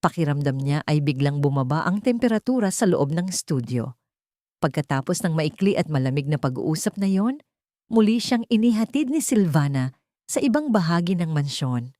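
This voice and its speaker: Female audiobook storyteller, slightly low register, very expressive intonation, scripted drama, dry sound. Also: normal speed, no echo. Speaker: audiobook narrator.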